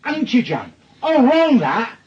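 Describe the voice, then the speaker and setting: A man's voice: very high-pitched, wildly swooping pitch, slow, muffled recording, exaggerated voice. Jumpy, stammering Northern English man. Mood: panicked, pleading. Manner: stammering, evasive. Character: nervous, pleading man caught out in an affair. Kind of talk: naturalistic radio drama acting.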